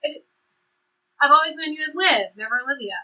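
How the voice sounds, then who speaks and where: A woman's voice: high voice, lively intonation, fairly quick, bright, forceful tone, exaggerated voice, low-quality recording. A woman playing a blunt, impulsive seventeen-year-old girl in a stage play reading. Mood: eager, curious. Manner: blunt, impulsive teenage speech.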